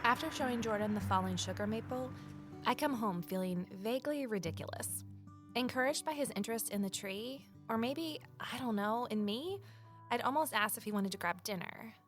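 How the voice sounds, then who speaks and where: A woman's voice: on the high side, expressive, moderate pace, over music, natural voice. An actress playing an earnest, self-doubting young woman sleuth in an audio drama, speaking in a wry, conversational way. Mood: rueful, self-mocking. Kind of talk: naturalistic audio drama acting and first-person narration.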